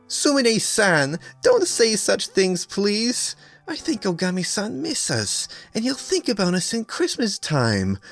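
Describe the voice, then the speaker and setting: A man's voice: high voice, huge pitch swings, measured pace, gravelly, fairly bright tone, faint music behind, voice pushed up, full character voice. A man voicing a sweet, earnest young woman from an anime fan story, polite and hesitant. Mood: pleading.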